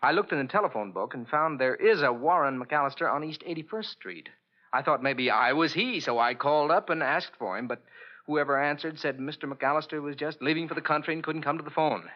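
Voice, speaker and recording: A man's voice: a little high, brisk, lightly acted. An actor playing an earnest, anxious young man with amnesia in 1940s radio style, speaking hesitantly as he explains himself. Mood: earnest, uneasy. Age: young adult. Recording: muffled old radio recording.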